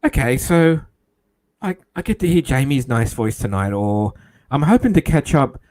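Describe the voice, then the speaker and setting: Man, stream host, relaxed, hesitant, casual talk with fillers. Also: mid-range voice, moderate pace. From New South Wales, Australia. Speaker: livestream host. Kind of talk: casual chat.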